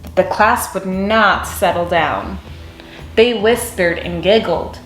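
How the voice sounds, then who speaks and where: A woman reading a children's picture book aloud with expressive storytelling. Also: mid-range voice, takes it slowly, echoey room, soft background music, rough recording.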